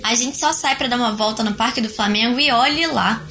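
Bright-voiced, outspoken young Brazilian flatmate played by a woman. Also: a little high, fairly quick, soft background music. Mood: wistful. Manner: colloquial, direct. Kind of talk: audio drama dialogue.

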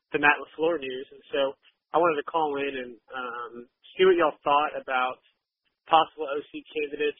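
Man on a tinny phone line. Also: medium pitch. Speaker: caller leaving a voicemail. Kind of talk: casual spoken message.

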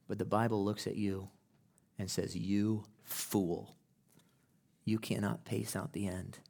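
Man, pastor, low voice, sermon in a church, conversational then impassioned. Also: level tone, takes it slowly, vocal fry. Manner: builds from conversational to loud impassioned preaching; acts out quoted people in casual voices. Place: church sanctuary.

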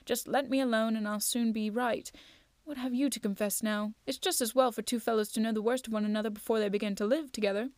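A woman voicing an eager, proud gentleman detective in a storybook reading, brisk and self-assured. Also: a little high, fairly even intonation, no echo, close to an everyday voice. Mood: cheerful, frank.

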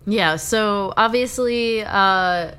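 A woman co-hosting a podcast from her apartment, sick, with her voice cracking and deeper than usual, chatting in a relaxed way. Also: drawn-out words, clear, resonant tone. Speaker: podcast co-host. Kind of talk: casual podcast chat.

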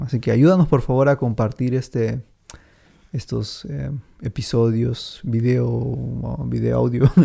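A man hosting a podcast, talking casually and rambling with many fillers. His voice is gravelly and mellow, and he laughs easily between thoughts. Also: medium pitch. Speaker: podcast host. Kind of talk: casual chat.

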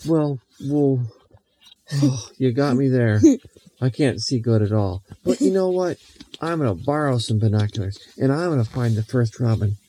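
A man doing a whiny soft-hearted boy. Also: medium pitch, animated, moderate pace, slight background noise, close to an everyday voice, low-quality recording. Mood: plaintive.

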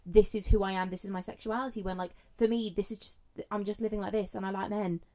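A young woman co-hosting a podcast, talking loudly and animatedly while holding the microphone very close. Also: medium pitch, fast. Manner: loud, animated ranting. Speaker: podcast co-host. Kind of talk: casual podcast chat. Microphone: handheld mic held close, no foam cover.

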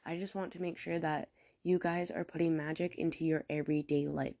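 A woman hosting a podcast alone, a bit stuffed up from a cold, talking slowly and earnestly with some rambling. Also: sits low, fairly even intonation, vocal fry, dark tone. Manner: earnest, encouraging, a little rambling; deliberately slow, careful pronunciation. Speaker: podcast host. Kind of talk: casual solo podcast monologue.

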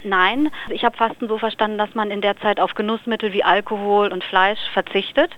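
A woman from Lower Saxony answering questions in a relaxed radio interview, thinking aloud with small fillers. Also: a little fast. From Lower Saxony, Germany. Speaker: interview guest. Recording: slightly dull radio recording.

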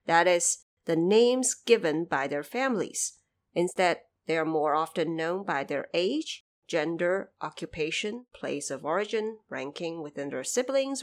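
A woman hosting a podcast, giving explanatory, lightly scripted commentary in a measured, didactic way with emphatic phrasing. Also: lowish voice. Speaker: podcast host explaining background of an audio drama series.

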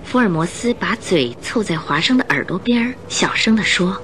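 A woman's voice: mid-range voice, lively intonation, normal speed, a bit bright, slight background noise. A woman narrating a radio drama in a scripted storytelling style.

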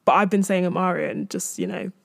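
A woman's voice: on the low side, very animated, brisk, vocal fry, no room sound. Chatty woman in her twenties, dry close sound. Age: 20s. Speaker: podcast host. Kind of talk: casual chatty solo podcast.

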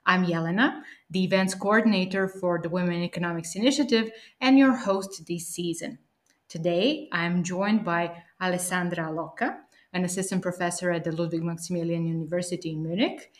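A woman's voice: on the low side, fairly even intonation, brisk. A woman hosting an interview podcast, speaking in an enthusiastic and encouraging manner. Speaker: podcast host. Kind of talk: scripted podcast introduction and interview.

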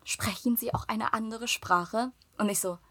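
Young woman, bright voice, chatty, laughs a lot, acts out the conversations she tells. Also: fairly high pitch, unhurried. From Germany. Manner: lively storytelling, acts out dialogue, laughs often. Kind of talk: casual chat.

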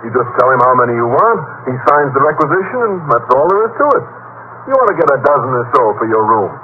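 A man's voice: mid-range voice, very animated, fast, lightly acted. A man playing an earnest, naive and slightly awkward biology teacher in old-time radio comedy. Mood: earnest, obliging. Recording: dull, faintly noisy old radio recording.

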